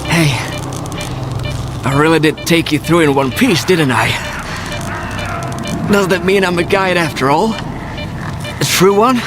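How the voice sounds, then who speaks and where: An actor playing a weary, fatalistic male guide in a video game cutscene, speaking in a deliberate, reflective way. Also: a little high, animated, music in the background. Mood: weary, earnest.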